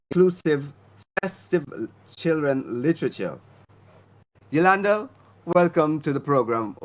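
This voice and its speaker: An older man hosting an interview program, speaking in a measured, emphatic way that lingers on key words. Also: mid-range voice. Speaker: radio program host. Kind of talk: formal interview introduction.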